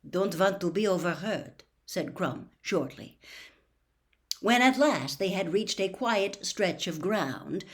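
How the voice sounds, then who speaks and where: A woman reading a story aloud as narrator, in an expressive audiobook style. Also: medium pitch.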